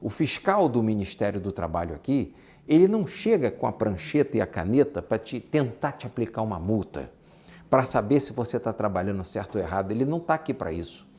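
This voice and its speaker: A man's voice: lowish voice, sing-song, a little fast, darkish tone. A man with a Brazilian accent giving an informal business lesson, emphatic, repeating his points and posing rhetorical questions. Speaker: business instructor. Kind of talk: informal lecture on exporting and business.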